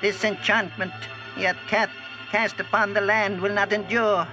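An actor playing an old, scheming, vengeful wizard in a radio drama, speaking archaic English in a portentous manner. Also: male voice, high-pitched, little pitch movement, lightly acted. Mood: ominous, scheming. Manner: slow, portentous, archaic diction. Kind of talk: 1940s radio drama acting. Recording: muffled vintage radio, music underneath.

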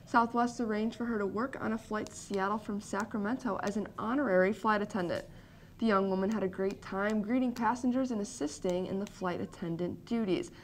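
A woman's voice: mid-range voice, steady tone, fairly quick, slight background noise. A young woman co-hosting a student morning news show in a campus TV studio, reading stories and chiming in upbeat.

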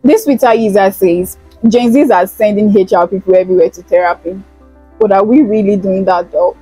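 A woman's voice: mid-range voice, brisk, mellow, soft background music. A young woman, hosting a chatty gossip vlog in a playful, teasing way on a lapel mic in an indoor studio. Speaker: host. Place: indoor studio, plain backdrop.

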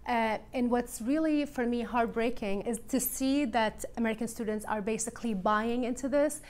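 A woman's voice: a little high, normal speed, a little mellow. A woman in her thirties from Syria hosting a television interview in a studio, with a Levantine accent. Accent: Levantine Arabic. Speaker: host.

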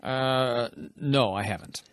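A man's voice: medium pitch, slow pace, no echo, natural voice. A man playing polite airport parts in a scripted lesson dialogue. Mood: hesitant. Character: polite clerk or passenger at an airport.

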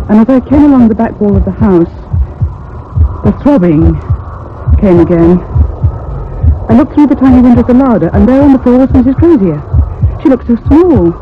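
A man playing a frightened narrator who recounts a haunting in grave, dramatic radio-drama style. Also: sits high. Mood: haunted, troubled. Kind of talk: dramatic first-person radio thriller narration. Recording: muffled, noisy old radio recording with hum.

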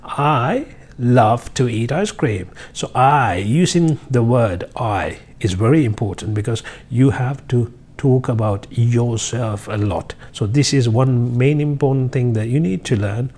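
A man's voice: medium pitch, measured pace, darkish tone. A man in his thirties from Sri Lanka, teaching English basics to camera in a casual, encouraging way with many fillers, recorded on a lapel mic in an office. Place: high-rise office by a window, indoors.

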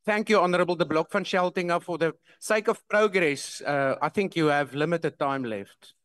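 A man's voice: on the high side, moderate pace, fairly bright tone. A man chairing a parliamentary debate, firmly restating his rulings and calling members to order. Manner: firm, authoritative, repeats rulings. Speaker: presiding officer chairing a session. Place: large parliamentary chamber, indoors. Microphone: desk microphone.

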